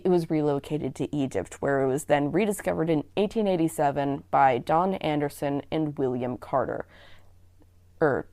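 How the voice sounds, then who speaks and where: A woman's voice: sits low, a little mellow, no room sound, clean studio sound. A woman listener giving articulate, explanatory commentary in a prepared voice message. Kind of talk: prepared fan theory commentary.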